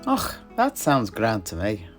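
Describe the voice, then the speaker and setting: A man's voice: fairly low pitch, huge pitch swings, slow, heavily acted. A man playing an earthy, chatty alchemist and druid in a fantasy audio drama, telling things in a rambling, rustic way. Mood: genial. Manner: folksy, rambling storyteller with rustic dialect turns. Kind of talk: in-character fantasy audio roleplay. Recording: music behind the voice.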